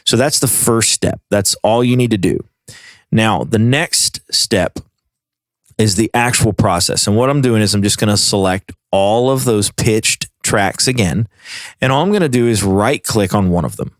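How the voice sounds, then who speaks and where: A man presenting a software tutorial in a casual, informal way, explaining things step by step. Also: lowish voice, moderate pace, no room sound.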